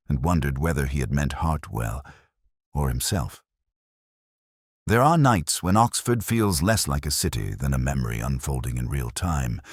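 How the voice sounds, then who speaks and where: A man narrating a first-person detective story in a measured, brooding literary manner. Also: very deep voice, no room sound, studio quality.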